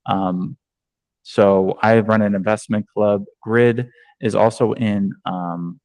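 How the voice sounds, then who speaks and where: Male agent-trainer from New York, lowish voice, chatty with fillers, dry video-call audio. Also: steady tone, takes it slowly, a little mellow, dry recording. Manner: conversational, many ums and restarts. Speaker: real estate agent and trainer. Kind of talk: online training talk.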